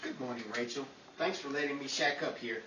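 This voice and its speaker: An actor playing a polite, earnest houseguest in a 1940s style radio comedy. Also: male voice, medium pitch, fairly quick, lightly acted. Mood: courteous. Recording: distant, echoey room with clear noise.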